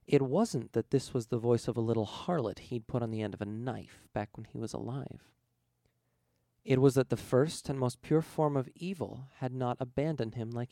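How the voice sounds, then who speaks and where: Creaky-voiced man narrating a story. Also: mid-range voice, mellow, no room sound, studio quality. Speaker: audiobook reader.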